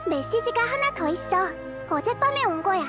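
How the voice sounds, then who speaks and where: Childlike girl deity for a game: very high voice, calm, measured, wise, music behind. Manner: measured, explanatory. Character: small young goddess girl, calm, wise, thoughtful. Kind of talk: Korean game voice acting.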